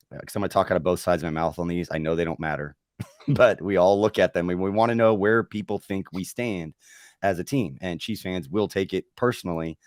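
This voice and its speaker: A man's voice: deep voice, dry recording, clean studio sound. A man hosting a casual sports podcast, losing his voice and rambling with lots of fillers. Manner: rambling, chatty with filler words. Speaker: host.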